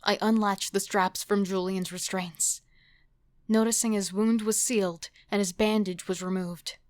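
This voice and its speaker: A woman's voice: medium pitch, fairly even intonation, a little slow, no room sound, clean studio sound, natural voice. A woman playing an intense, defiant serial killer devoted to her partner, acting and narrating in a horror audio drama. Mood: tense narration.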